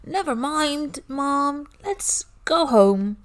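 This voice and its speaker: Anxious small boy for a storybook, played by a woman, high and swooping, slow delivery. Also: expressive, exaggerated voice. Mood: reluctant. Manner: worried, questioning. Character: anxious, reluctant little boy. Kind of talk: children's storybook read-aloud.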